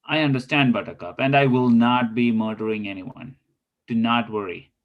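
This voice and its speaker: A man's voice: mid-range voice, moderate pace, phone-quality sound, natural voice. A man playing an earnest thirty-something spy in a scripted table read, speaking in formal, stilted phrases.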